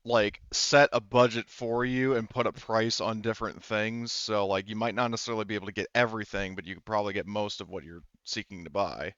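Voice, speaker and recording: A man's voice: on the low side, steady tone, fairly quick, few pauses, fairly bright tone, smooth, dry recording, dull audio. A man speaking conversationally as a game master, explaining things to the players in a tabletop roleplaying game.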